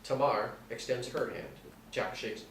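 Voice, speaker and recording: A man's voice: medium pitch, a little slow, a bit bright. A man narrating, reading out the action lines of a screenplay in a scripted style. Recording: echoey room, distant mic, faint noise.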